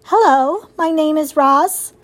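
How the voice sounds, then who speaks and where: A woman voicing a polite, calm, emotionless female robot in a storybook, speaking in a measured, formal way. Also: high voice, pitched above the performer's own voice.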